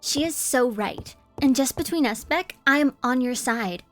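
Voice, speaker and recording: High, dramatic schoolgirl, acted by a woman. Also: lively intonation, moderate pace, fairly bright tone, soft background music. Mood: confiding. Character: supportive, dramatic schoolgirl admirer. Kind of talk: scripted animated roleplay acting.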